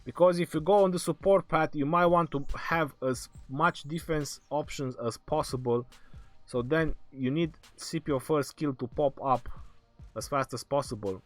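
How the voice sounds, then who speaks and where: Male streamer, fraying hoarse voice, slightly high, casual Q&A host. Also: normal speed. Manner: reads viewer questions aloud then answers, laughs often. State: hoarse, losing his voice. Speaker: game livestream host. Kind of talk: casual live Q&A reading chat questions. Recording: faint background music.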